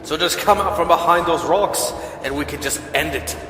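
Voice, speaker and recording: A man's voice: a little high, normal speed, background noise, natural voice. A man playing a cocky, scornful human vampire hunter with a bow in an audio drama, taunting in a projected voice. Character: cocky male human archer who hunts vampires, scornful. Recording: distant, echoing reverb, faint music.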